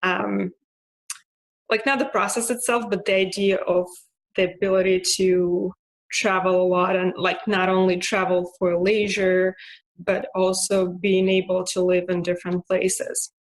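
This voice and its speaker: A young woman from Russia with a Russian accent, talking casually about her life, with frequent fillers, restarts and soft laughs. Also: on the low side, flat delivery, a little slow, poor audio. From Russia (Moscow). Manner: hesitant, many fillers and restarts; laughs softly now and then. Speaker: interviewee. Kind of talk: casual personal monologue.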